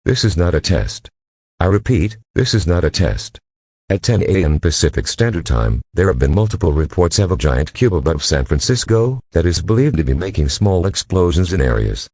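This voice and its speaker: A man's voice: low-pitched, very animated, moderate pace, darkish tone, husky, dry recording. A man reading a scripted emergency alert in a formal, impersonal, mechanical manner.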